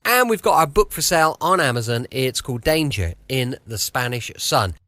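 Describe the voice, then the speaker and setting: A man's voice: mid-range voice, animated, normal speed, sharp tone, no echo. A British man hosting a podcast with a chatty, reassuring, rambling introduction. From southwest of the United Kingdom. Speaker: podcast host. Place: at home, dry close recording.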